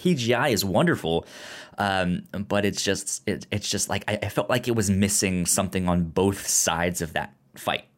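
Man, low voice, frequent stammer, repeated syllables, casual podcast discussion. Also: animated, moderate pace. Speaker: podcast co-host reviewing a film.

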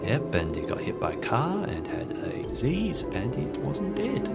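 A man's voice: mid-range voice, wildly swooping pitch, measured pace, vocal fry, loud music behind the voice, voice pushed up, heavily acted. A man playing an anxious, apologetic park ranger who rambles and stammers in a tabletop game. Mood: muttering, unsettled. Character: flustered, apologetic, anxious male park ranger new to the job.

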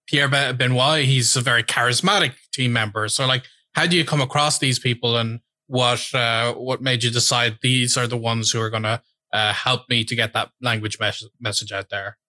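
A man hosting a podcast, asking questions with many fillers and pauses. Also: mid-range voice, steady tone, sharp tone, no room sound. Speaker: podcast host. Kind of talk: casual interview questions.

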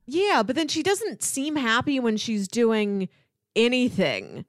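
A woman's voice: on the high side, big pitch swings, slow, no room sound, studio quality. A woman podcast host chatting casually about film, often slipping into acted-out imagined quotes.

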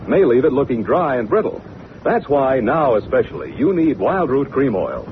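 An American man works as an old-time radio announcer, pitching with lively, swinging intonation. The recording is tinny and clearly noisy, in 1940s commercial style.

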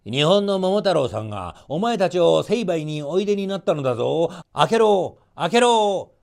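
A man voicing a fierce, loyal dog in a folktale, shouting commands at his enemies. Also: high voice, big pitch swings, normal speed, vocal fry, pitched above the performer's own voice, heavily acted. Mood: fierce demand.

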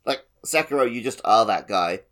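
A man's voice: on the low side, lively intonation, normal speed, a bit bright. A man co-hosting a casual gaming podcast, chatting in a wry, opinionated way. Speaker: podcast co-host.

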